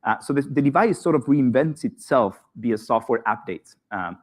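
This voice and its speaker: A man's voice: medium pitch, expressive, normal speed. A man from Spain with a Spanish accent, speaking live at a conference, hesitant with many fillers and restarts. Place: conference hall.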